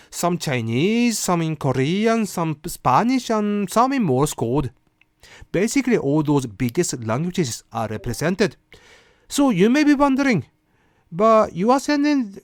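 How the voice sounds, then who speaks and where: A man's voice: a little high, darkish tone, dry recording, studio quality. A man hosting a casual explainer, talking conversationally and putting questions to the listener. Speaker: host of a rant and explainer series. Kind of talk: casual explainer narration with quoted passages.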